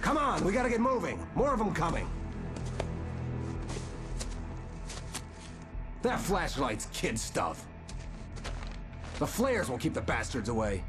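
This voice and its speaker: A menacing game villain, with a pitch that leaps about dramatically. He is coarse and taunting, stretching his threats into a sneer. Music plays clearly behind him. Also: big pitch swings, moderate pace. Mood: urgent. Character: menacing gruff kidnapper, taunting and bullying. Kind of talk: video game acting.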